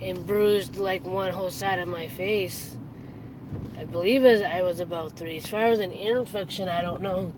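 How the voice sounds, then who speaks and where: A raspy-voiced live streamer with heavy breathing, rambling casually while driving a car. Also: medium pitch, fairly even intonation, normal speed. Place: inside a moving car. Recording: road and car noise.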